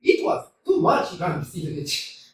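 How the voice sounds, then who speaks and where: A woman's voice: fairly low pitch, huge pitch swings, normal speed. A woman voicing a headstrong, argumentative young woman in a staged play reading, teasing and stubborn, heard from a distance in an echoey hall. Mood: polite. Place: small theatre stage.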